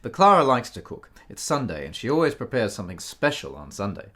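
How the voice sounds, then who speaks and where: A man's voice: lowish voice, fairly quick. A man playing a hearty, teasing writer in a period radio play, with a jovial, old-fashioned way of talking. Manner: jovial, expressive, old-fashioned turns of phrase. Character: hearty, teasing gentleman writer in 1870s Italy. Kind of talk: radio drama acting.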